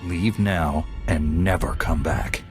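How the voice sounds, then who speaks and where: Deep-voiced young hero knight. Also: male voice, slow pace. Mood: firm. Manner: confident, terse. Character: steady, earnest young male Jedi knight hero. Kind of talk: video game voice acting. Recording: music under the voice.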